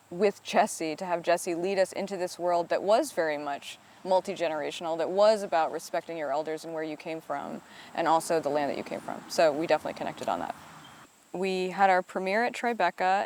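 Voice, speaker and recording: A woman's voice: lowish voice, darkish tone. A woman who directs and edits documentaries, talking thoughtfully about her work in an interview, often hedging with "I think" and "you know.". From upstate New York. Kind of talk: reflective interview answers.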